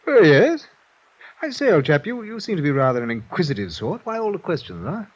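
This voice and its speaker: Posh breezy english gent, pompous, very animated, muffled old radio show. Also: male voice, on the high side, fairly quick. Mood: jovial, breezy. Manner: hearty, pompous, blustering. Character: breezy, affected English gentleman mountaineer. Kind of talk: 1950s radio drama acting.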